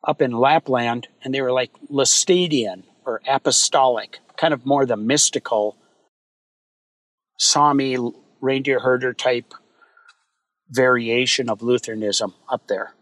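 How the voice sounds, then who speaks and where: A man from Minnesota, a guest in a podcast interview, talking in a relaxed, rambling way with many fillers. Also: medium pitch, a little slow, no room sound, rough recording. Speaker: guest, wildfire fighter. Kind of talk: casual interview chat.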